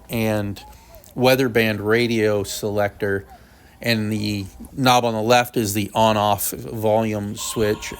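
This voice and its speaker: A man narrating an unboxing and review, explaining features step by step in a plain, matter-of-fact way. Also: fairly low pitch. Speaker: product reviewer.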